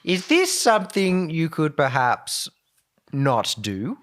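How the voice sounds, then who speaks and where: A man's voice: on the high side, huge pitch swings, takes it slowly, fairly bright tone. A man playing in an improvised comic roleplay game, speaking in a wordy, mock-formal way. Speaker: player in a tabletop game.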